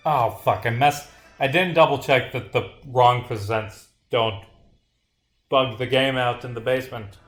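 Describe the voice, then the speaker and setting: Man, game developer, livestream playtest, casual asides, swearing, middling pitch. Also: unhurried, a bit bright, soft background music. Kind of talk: livestream playtest, reading game dialogue aloud with casual commentary.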